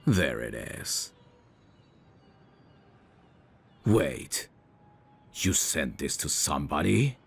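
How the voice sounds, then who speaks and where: Guy acting as a menacing mafia boss, super low gravelly voice, teasing and taunting, asmr roleplay. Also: sing-song, heavily acted. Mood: cold, threatening. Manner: slow, deliberate, taunting. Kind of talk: ASMR roleplay audio drama.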